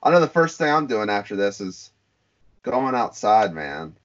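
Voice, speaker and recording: A man's voice: on the low side, normal speed. Laid-back podcast guy, lo-fi. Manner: relaxed, filler-heavy, wry. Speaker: podcast co-host. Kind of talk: casual banter. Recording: dull lo-fi sound.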